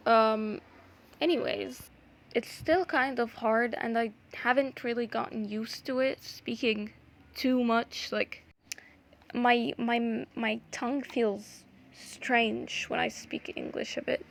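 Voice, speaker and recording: A young woman whose first language is Arabic, talking through a craft tutorial in a hesitant, casual way with many pauses and restarts. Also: fairly high pitch, steady tone, creaky. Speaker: art vlogger.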